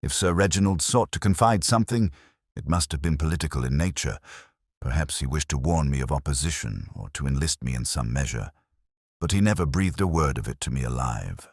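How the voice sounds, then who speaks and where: A man's voice: rumbling low, expressive, fairly quick, slightly rough, no room sound, studio quality, heavily acted. A man voicing a proud young politician in a mystery audiobook, formal, firm and defensive. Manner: courteous, formal, faintly irritated.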